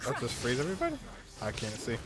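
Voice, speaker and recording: A man's voice: mid-range voice, wildly swooping pitch, fast, over loud music. A man giving informal, reactive gameplay commentary, half talking to himself with frequent exclamations. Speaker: gaming streamer.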